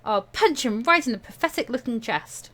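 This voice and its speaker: Animated woman reading a visual novel. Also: on the high side, normal speed. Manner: expressive, playful. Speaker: let's play host. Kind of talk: dramatic read-aloud of a visual novel with playful asides.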